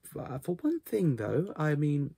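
A man's voice: a little high, wildly swooping pitch, measured pace, gravelly, warm tone, breathy edge, no room sound, studio quality. A man in his twenties giving a casual channel update to camera, with hesitant, self-deprecating asides. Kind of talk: casual vlog talk to camera. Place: small cluttered bedroom, indoors.

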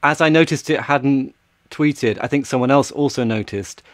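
A man in his thirties co-hosting a casual tech podcast in a matter-of-fact, low-key way. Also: moderate pace, no echo, clean studio sound. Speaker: podcast co-host. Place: small home office.

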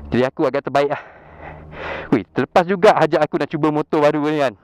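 A man's voice: a little high, fast, clean tone, no room sound. A young man with a Malaysian Malay accent, chatting enthusiastically about motorbikes in a casual vlog, laughing often. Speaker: motovlogger. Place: outdoors in a leafy park road, beside motorbikes. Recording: outdoor wind and engine noise.

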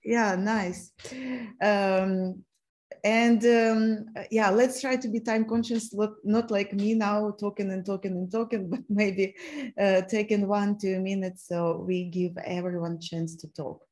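A woman's voice: mid-range voice, little pitch movement, a little mellow. Ukrainian-accented woman hosting a video call. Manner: halting, many fillers and restarts. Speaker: host of an online learning event. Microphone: laptop mic on a video call.

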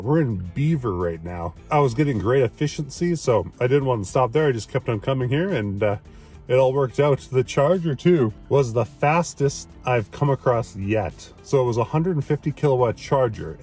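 A man's voice: mid-range voice, a little mellow. Man in his 30s, upbeat car vlogger, phone mic, faint music bed. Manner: chatty, enthusiastic, many fillers. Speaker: EV road trip vlogger. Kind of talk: casual vlog talk to camera. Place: inside a parked car. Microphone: phone camera at arm's length.

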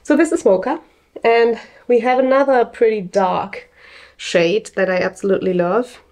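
A young woman presenting her hand-dyed yarns in a casual, chatty way, pausing often with fillers and restarts, a little sniffly from hay fever. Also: on the high side, darkish tone. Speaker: yarn dyer presenting her collection.

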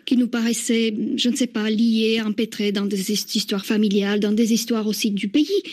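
Hesitant Slovak woman, fairly high voice. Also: fairly even intonation, moderate pace. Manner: thoughtful, hesitant, frequent restarts and soft laughs. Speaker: interviewed novelist. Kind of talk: recorded radio interview.

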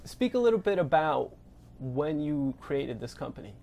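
A man's voice: a little high, big pitch swings, moderate pace, warm tone. A man with an American accent interviewing someone in a casual, informal way. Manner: informal, echoes answers back. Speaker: interviewer, news editor. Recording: clear ambient background noise.

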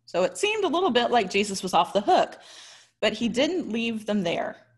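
An American woman in her thirties giving a prepared but conversational sermon as a pastor, with expressive, articulate storytelling. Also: medium pitch, moderate pace, slightly rough. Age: 30s. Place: small indoor room.

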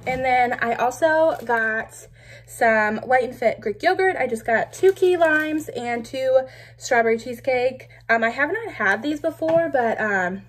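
A woman's voice: sits high, animated, a little slow, a little hiss or hum. A young woman vlogging from her home kitchen, chatting upbeat and casually with lots of "um" and "like.". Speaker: lifestyle vlogger. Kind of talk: casual grocery haul chat. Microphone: camera on counter, medium distance.

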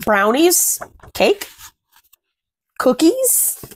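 A woman's voice: sits high, huge pitch swings, very slow, rough recording. A young woman reading a picture book aloud to small children, expressively and with sing-song phrasing, chatting about it as she goes. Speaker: children's read-aloud host. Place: small indoor room at home.

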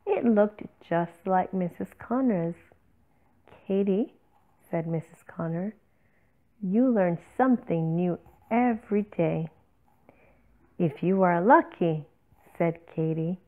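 A woman reading a picture book aloud to children, with slow, deliberate and expressive phrasing. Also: on the low side. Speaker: children's story reader. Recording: tinny, phone-like narrow-band audio.

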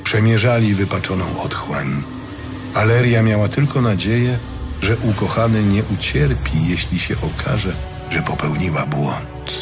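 Low-voiced man narrating a fantasy audiobook over music. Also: lively intonation, measured pace. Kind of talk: scripted dramatic fantasy narration.

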